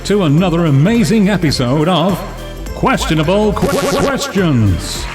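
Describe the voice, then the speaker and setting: A man playing a showy, polite game show host with a measured presenter delivery in an audio drama. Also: high voice, sing-song, over loud music, a loud crowd.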